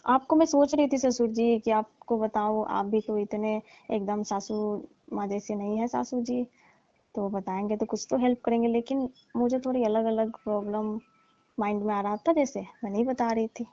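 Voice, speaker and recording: Casting: woman as coy, complaining young daughter-in-law for phone comedy; higher, mellow voice. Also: fairly even intonation, normal speed, dry recording, close to an everyday voice. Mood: sad, confiding. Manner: coy, hinting, complaining, teasing. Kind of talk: staged comic phone-call skit. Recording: muffled, lo-fi, dry call recording.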